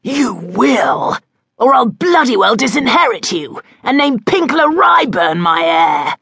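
A woman's voice: very high voice, huge pitch swings, very slow, vocal fry, no echo, studio quality, pitched above the performer's own voice, heavily acted. A woman voicing a blustering, hot-tempered older male duke who bellows his lines in an audiobook. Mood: furious. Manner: bellowing, shouting. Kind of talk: audiobook character voice.

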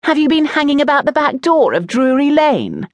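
A woman voicing a rude, mocking fifteen-year-old schoolboy in an audiobook story, loud and taunting. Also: high voice, wildly swooping pitch, normal speed, no room sound, pitched above the performer's own voice, heavily acted.

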